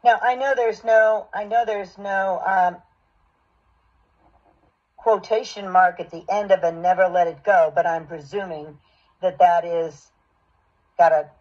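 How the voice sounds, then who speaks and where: Husky woman coach, dull recording. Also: on the low side, fairly even intonation, measured pace, long pauses. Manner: encouraging, explanatory. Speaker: voice acting coach. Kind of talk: giving direction and feedback. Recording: dull, lo-fi sound.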